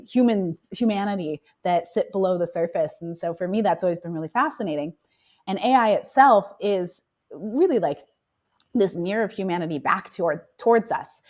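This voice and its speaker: A woman guest with a cold and a raspy voice, explaining her field in a thoughtful, casual interview. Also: lowish voice, a little mellow. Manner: thoughtful, explanatory, laughs easily. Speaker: AI ethicist guest.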